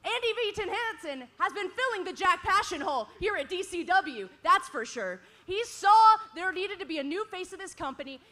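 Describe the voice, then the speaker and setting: Brief: female wrestling talk host, sharp bright tone, mocking. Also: extremely high pitch. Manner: sarcastic, mocking, needling. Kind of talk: wrestling talk show promo. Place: indoor arena.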